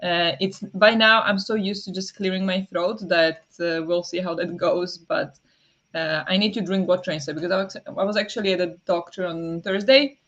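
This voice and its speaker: A woman hosting a casual morning livestream from home, chatting, apologizing and greeting viewers, with many fillers, soft laughs and a voice that sometimes turns raspy. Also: fairly low pitch, steady tone, normal speed, lo-fi sound. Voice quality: sometimes raspy, habitually clears throat. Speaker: livestream host.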